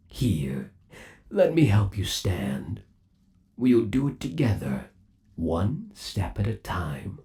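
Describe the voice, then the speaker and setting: Guy doing a lowish voiced yandere robot bunny boyfriend, asmr rp, creepy sweet and clingy, really swoopy intonation. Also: dark tone, exaggerated voice. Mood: tender, encouraging. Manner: slow, doting, theatrical cooing. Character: possessive undead animatronic rabbit boyfriend, lovesick and sinister. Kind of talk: ASMR roleplay acting.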